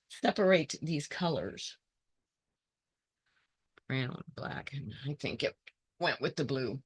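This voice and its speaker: Hoarse woman, unhurried talk, dry close sound, chatty crafter thinking aloud. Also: deep voice, a bit bright, no room sound. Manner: thinks aloud, greets viewers by name. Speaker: quilting channel host. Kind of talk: casual chat while sorting fabric.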